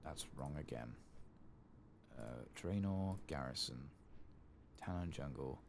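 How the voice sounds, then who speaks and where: A man hosts a game playthrough in a hushed, soft-spoken ASMR style. His voice is extremely deep and murmured, with a gravelly creak. He takes his time over the words at a slow pace. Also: expressive, a little hiss or hum. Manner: hushed, murmured, occasionally whispering. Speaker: gaming channel host.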